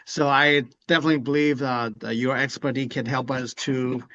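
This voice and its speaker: A man's voice: mid-range voice, steady tone, bright, forceful tone. Taiwanese accent guy on a video call, lots of uhs, sound kinda dull. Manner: halting, many uh fillers. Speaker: webinar attendee.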